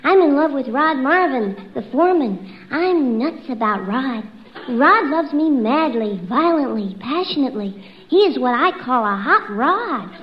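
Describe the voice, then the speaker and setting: High-voiced brazen, cheating radio wife. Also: female voice, lively intonation, unhurried. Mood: brazen, lovestruck. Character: brazen unfaithful wife, lovestruck and scheming. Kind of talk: 1950s radio comedy sketch acting. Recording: dull, noisy old radio recording.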